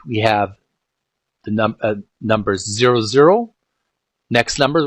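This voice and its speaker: A man with a mid-pitched voice speaks as an informal channel host, reading aloud and commenting as he goes. His phrasing is halting and piecemeal, with words often repeated. The recording is dry, with very little room sound. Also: fairly bright tone, dry recording. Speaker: video game channel host. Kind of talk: informal read-aloud and commentary on retro game art.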